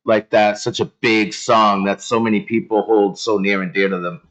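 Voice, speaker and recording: A man's voice: lowish voice, little pitch movement, moderate pace, a bit bright. A man hosting a casual interview, chatty and encouraging, often rephrasing his guest's answers. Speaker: interview host.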